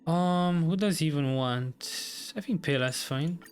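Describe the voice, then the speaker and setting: A man's voice: a little high, a little slow. A man doing casual live gameplay commentary, muttering his thoughts aloud to himself as he plays. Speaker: game streamer. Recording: voice over faint game music. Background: faint game music.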